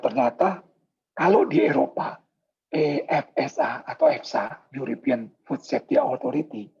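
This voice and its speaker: Hoarse male presenter, gravelly and husky, dull lo-fi video call audio. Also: mid-range voice, darkish tone. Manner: polite, explanatory, many filler pauses. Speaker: product presenter. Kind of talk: sales presentation in a video meeting.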